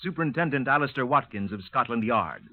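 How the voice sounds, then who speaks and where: A man's voice: medium pitch, expressive, quick pace. A man announcing formally from a script in the declarative style of 1950s radio. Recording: dry, muffled narrowband vintage radio recording.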